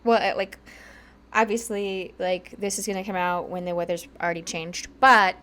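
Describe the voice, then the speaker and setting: A woman's voice: mid-range voice, fairly even intonation, normal speed, a bit bright. A woman co-hosting a podcast, chatting casually and a bit rambling, slightly congested. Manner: chatty and rambling, many fillers. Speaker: podcast co-host.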